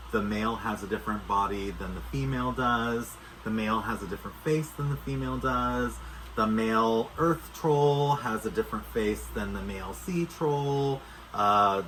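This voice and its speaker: Low-voiced man chatting over background noise. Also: a bit bright, poor audio. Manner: enthusiastic, sincere, rambling. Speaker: doll maker and vlogger. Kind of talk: casual chat to camera.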